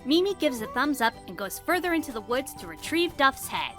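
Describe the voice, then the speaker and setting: A woman's voice: sits high, very animated, fairly quick, fairly bright tone, soft background music. A woman narrating an audio drama in a theatrical, suspenseful storytelling style.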